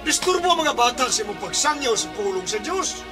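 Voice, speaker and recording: An officious, disapproving disciple in a biblical radio drama. The voice is high and swoops around, with very loud music underneath. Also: male voice, sing-song, brisk. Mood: indignant. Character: officious, disapproving follower of a holy teacher.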